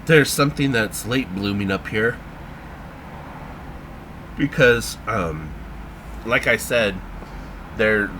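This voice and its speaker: A man with a fairly low voice talks unhurriedly through a casual vlog. He stalls on frequent ums and sounds a bit stuffed up. A steady background noise runs under everything. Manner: halting, lots of ums and trailing pauses. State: congested, feeling sick. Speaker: vlogger.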